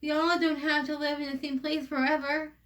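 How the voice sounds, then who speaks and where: A woman's voice: high voice, level tone, brisk, a bit bright, distant mic, voice pushed up. A woman reading the part of an earnest, homesick young woman in a stage play. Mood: earnest, pleading.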